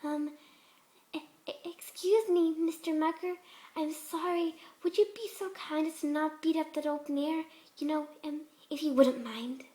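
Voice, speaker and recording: A woman voicing a shy, gentle young female pegasus pony, bashful, hesitant and polite, in a cartoon fan story. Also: high-pitched, steady tone, a little slow, voice pushed up. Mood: timid, meek.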